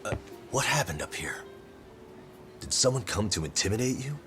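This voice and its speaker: A man's voice: fairly low pitch, sing-song, moderate pace, bright, forceful tone, faint music behind. An actor playing a dogged, street-smart male detective in an English video game dub, guarded and sardonic in a casual, tough way. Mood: probing.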